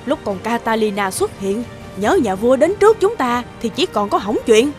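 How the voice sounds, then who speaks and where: A woman's voice: on the high side, animated, moderate pace, faint music behind, natural voice. A woman voicing a greedy, bossy middle-aged nursemaid villainess in a cartoon fairy tale, with a sly manner. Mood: bossy, scolding.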